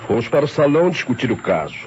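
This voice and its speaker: Actor as quick-talking, level-headed husband. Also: male voice, mid-range voice, big pitch swings, a little mellow, close to an everyday voice. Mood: matter-of-fact. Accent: Brazilian. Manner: formal, explanatory. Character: level-headed husband giving witness testimony. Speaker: actor in a radio mystery serial. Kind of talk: old Brazilian radio drama acting. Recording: muffled, noisy old radio recording.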